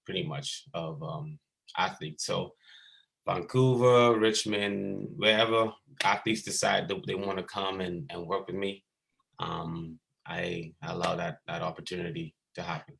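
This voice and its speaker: A man from the Bahamas in his thirties, a basketball skills coach, talking casually and haltingly over a video call with many ums and restarts. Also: low-pitched, steady tone, gravelly, fairly bright tone, poor audio.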